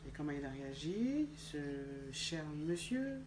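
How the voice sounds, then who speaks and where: A woman's voice: sits low, big pitch swings, measured pace. A woman hosting an interview, asking long questions with many fillers. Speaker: interview host. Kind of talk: conversational interview. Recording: echoey room with faint background noise.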